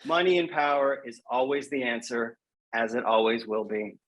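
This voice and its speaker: A man's voice: mid-range voice, normal speed, fairly bright tone, poor audio. A man speaking frankly and informally as a guest in a casual interview, with a wry way of putting things. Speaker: guest poet.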